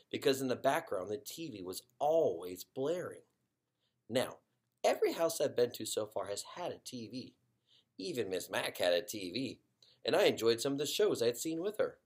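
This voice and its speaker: A man, a teacher, reading a children's chapter book aloud in an expressive storytelling way. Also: on the low side, husky.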